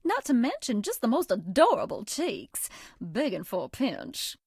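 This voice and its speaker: A sugary, high-voiced Southern belle. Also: female voice, wildly swooping pitch, a little slow, a little mellow, husky, no room sound, clean studio sound, exaggerated voice. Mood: sweet, teasing. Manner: coy, sugary, folksy. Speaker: voice actress. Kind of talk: video game character voice lines.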